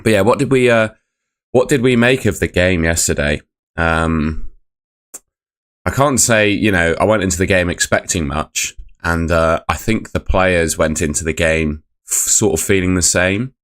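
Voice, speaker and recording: A young man hosting a casual live football review, his voice croaky from shouting the night before, chatty and rambling as he reads out chat messages. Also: sits low, normal speed. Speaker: fan channel host.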